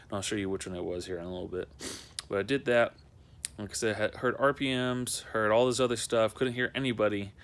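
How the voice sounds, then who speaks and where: A man's voice: on the low side, steady tone, fairly quick, fairly bright tone. A young man talking in a casual, unscripted how-to vlog, rambling and repeating himself in a conversational way. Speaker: amateur video maker showing a car project.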